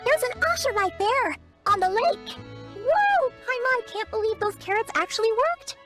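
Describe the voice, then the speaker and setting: A woman voicing a tiny, excitable floating fairy girl companion in a video game, with a squeaky childlike voice and exclamatory delivery. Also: measured pace, soft background music, heavily acted. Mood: excited. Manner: exclamatory, refers to self in third person. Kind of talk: animated video game dialogue.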